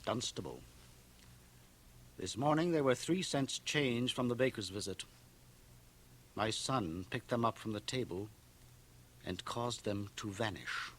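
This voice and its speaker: An actor playing a stern, pious small-town minister, solemn and righteous, in a radio drama. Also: male voice, fairly low pitch, expressive, a little slow, long pauses, fairly bright tone, a little hiss or hum. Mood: accusing, righteous. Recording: 1980 radio drama from home cassette transfer.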